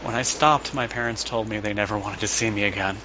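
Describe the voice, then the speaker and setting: Low-voiced weary, compassionate psychiatrist played by a man. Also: steady tone, fairly quick, sharp tone, slight background noise, poor audio. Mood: pained, halting. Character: world-weary compassionate male psychiatrist, haunted by his past. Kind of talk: audio drama monologue.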